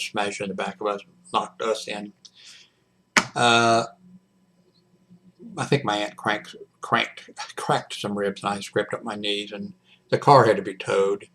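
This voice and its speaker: An older American man talking casually to his viewers in a rambling, halting monologue that often trails off and wanders between points. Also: on the low side, a bit bright, a little hiss or hum. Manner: digressive, halting, often trailing off mid-sentence. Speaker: hobbyist video blogger.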